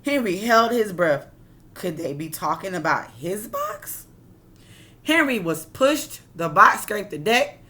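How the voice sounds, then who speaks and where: A woman's voice: medium pitch, wildly swooping pitch, slow pace, bright, forceful tone. A woman reading a picture book aloud like a teacher, expressively, with casual asides that explain and question her listeners.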